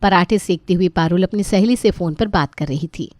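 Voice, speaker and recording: A woman's voice: fairly low pitch, fairly quick, darkish tone, no echo, clean studio sound. A woman reading scripted story narration.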